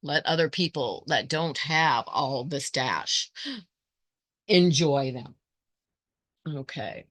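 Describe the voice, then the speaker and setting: A hoarse woman hosting her quilting channel, thinking aloud in casual chat as she sorts scrap fabric and greets viewers by name. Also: a bass voice, expressive, slow, pauses often, a bit bright, no echo.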